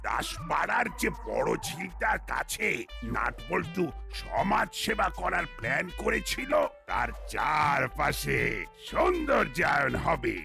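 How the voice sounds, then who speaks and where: A blustering, cowardly cartoon police inspector, with heavy gravel in the voice and wildly swinging pitch. He puffs himself up pompously and stammers when rattled, over loud music. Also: sing-song, slow, heavily acted. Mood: officious. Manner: stammers and repeats words when flustered.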